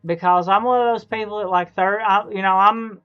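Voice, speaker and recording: A man in his thirties chatting casually as a live streamer, halting and repeating his phrases over and over. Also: sits high, fairly even intonation, clean tone, lo-fi sound. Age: 30s. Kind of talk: casual chat while gaming.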